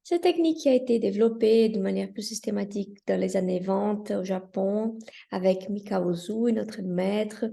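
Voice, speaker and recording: A Brazilian woman with a Brazilian Portuguese accent, a Reiki teacher greeting viewers of her channel in a slow, earnest, softly hesitant way, speaking outdoors in the mountains. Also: fairly high pitch, vocal fry. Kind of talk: spiritual welcome address to viewers.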